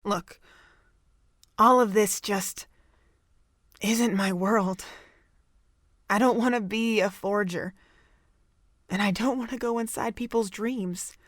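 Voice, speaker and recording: A woman acts a guarded young female student in an audio drama. She speaks slowly and hesitantly at a middling pitch, recorded close and dry. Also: fairly bright tone, dry recording. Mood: reluctant. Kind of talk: amateur audio drama acting.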